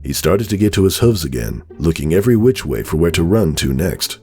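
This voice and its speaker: A man with an extremely low voice narrates a story in a dramatic scripted reading. Music plays behind him. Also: sing-song, brisk, darkish tone.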